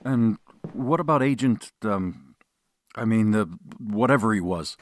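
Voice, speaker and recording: A man playing a flustered, polite and hesitant gentleman in an audio drama, speaking in halting stammers. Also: fairly low pitch, natural voice. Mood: nervous, unsure. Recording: faintly noisy drama scene with siren.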